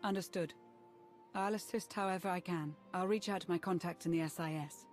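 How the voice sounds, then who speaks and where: An actress playing a steady, loyal female military adviser in a video game, speaking in a measured, formal way. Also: lowish voice, soft background music, close to an everyday voice. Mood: grave, resolute. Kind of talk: video game cutscene acting.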